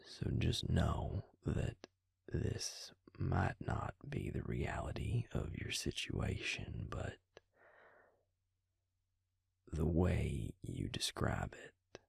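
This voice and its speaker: A man playing a patient, grave vampire in an intimate audio roleplay, speaking husky, breathy and low, with hesitant, deliberate pauses. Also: darkish tone, dry recording, studio quality, heavily acted. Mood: hushed, cautious. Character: male vampire, patient, grave, quietly explaining lore.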